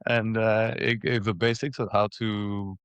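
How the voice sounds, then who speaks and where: A man in his forties from Ethiopia and Mauritius reminiscing in a casual interview, haltingly, repeating words as he thinks. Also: fairly low pitch, level tone, smooth, dry recording. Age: 40s. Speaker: interview guest, former professional tennis player.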